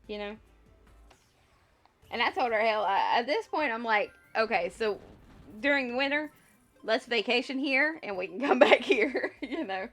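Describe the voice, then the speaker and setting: A woman's voice: fairly high pitch, lively intonation, normal speed, pauses often, fairly bright tone. A woman hosting a casual livestream, rambling and thinking aloud as she talks to viewers, sounding slightly congested. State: slightly congested with a lingering cough. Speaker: livestream host. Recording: music behind the voice. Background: music.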